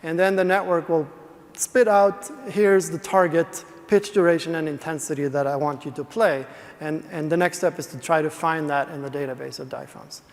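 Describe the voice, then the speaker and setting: A man from Sweden, a presenter giving a conference talk in a halting, explanatory way. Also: fairly high pitch, fairly even intonation. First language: Swedish. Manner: explanatory, halting with many restarts. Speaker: conference presenter, speech engineer. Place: large conference hall. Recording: long reverb of a big hall.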